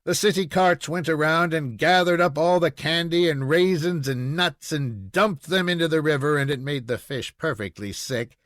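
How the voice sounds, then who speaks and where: Higher-pitched male storybook reader, dry sound. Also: a bit bright, no echo. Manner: measured storytelling with acted dialogue. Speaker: audiobook reader. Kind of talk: expressive storybook narration.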